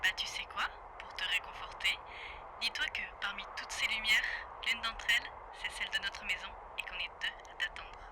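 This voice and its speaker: An actress playing a teasing, affectionate pregnant wife, talking casually over a private radio line in an audio drama. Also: female voice, mid-range voice, moderate pace, background noise. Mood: tender, pleading. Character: pregnant young wife, teasing, tender and sarcastic. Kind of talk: naturalistic audio drama dialogue.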